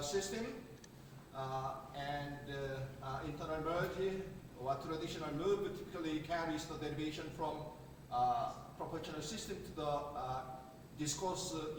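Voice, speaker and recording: Halting Korean-accented man in an echoey hall. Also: fairly high pitch, noisy background. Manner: halting, many filler sounds and restarts. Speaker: conference speaker. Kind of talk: academic conference talk. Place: large conference hall. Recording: echoey hall recorded from far off.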